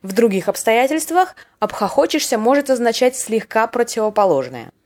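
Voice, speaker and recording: A woman's voice: a little high, very animated, normal speed, darkish tone. A woman hosting a podcast that explains slang words, in wry scripted narration with short acted example lines. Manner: wry, playful, acts out short example dialogues. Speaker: podcast host teaching Russian slang.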